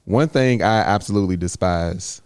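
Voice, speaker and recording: A man's voice: sits low, moderate pace, clean tone, no echo, clean studio sound. A man co-hosting a podcast in casual, plainspoken chat, laid-back and teasing. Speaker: podcast co-host.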